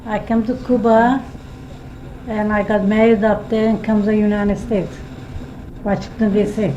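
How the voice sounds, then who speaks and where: A woman's voice: mid-range voice, level tone, moderate pace, dark tone, a little hiss or hum. An older woman giving short, plain answers about her past in a kitchen interview. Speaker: interviewee, relative. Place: home kitchen. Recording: lo-fi home tape, speaker off-mic.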